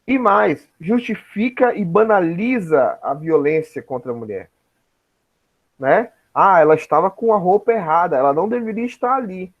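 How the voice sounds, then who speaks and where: Brazilian guy teaching a class, kinda high voice, keeps checking you follow, muffled lo-fi sound. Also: lively intonation, normal speed. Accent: Brazilian Portuguese. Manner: didactic, frequent tag questions checking understanding. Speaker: teacher, lecturer. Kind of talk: informal lecture to students.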